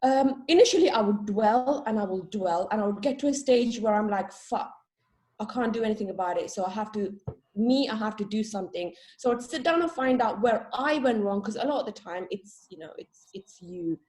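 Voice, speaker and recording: A woman's voice: mid-range voice, animated, brisk, darkish tone, rough recording. A young woman from Sri Lanka, a guest in a casual interview, talking openly and chattily and laughing at herself.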